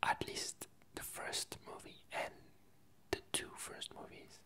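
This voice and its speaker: Slow, hushed ASMR whisper. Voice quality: soft breathy whisper, almost entirely unvoiced. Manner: halting, unhurried, searching for words. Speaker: ASMR video creator. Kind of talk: whispered ASMR ramble about games and films.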